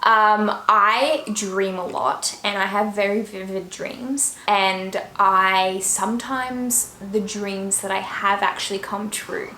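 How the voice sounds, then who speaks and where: A woman's voice: medium pitch, steady tone, slow, hardly any pauses, bright, forceful tone. A young Australian woman with an Australian accent answering questions in a casual vlog, chatty and full of fillers and trailing asides. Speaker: YouTuber. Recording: echoey room with faint noise.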